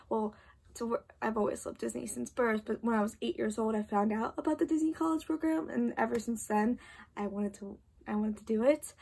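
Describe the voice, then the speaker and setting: Young woman, 18, late-night bedroom vlog, tired and chatty, raised pitch, gravelly and husky. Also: a little mellow. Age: 18, about to turn 19. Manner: chatty, lots of "like" and "literally", restarts. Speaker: vlogger. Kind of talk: casual late-night chat to camera. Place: bedroom at home, indoors.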